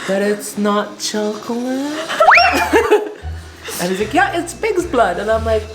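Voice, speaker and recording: A man's voice: extremely high pitch, wildly swooping pitch, slow pace, over loud music, poor audio. A young man hosting a casual eating vlog, telling stories animatedly, acting out quotes and laughing often. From Filipino family. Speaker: vlog host. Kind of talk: casual chat over food. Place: apartment kitchen, standing.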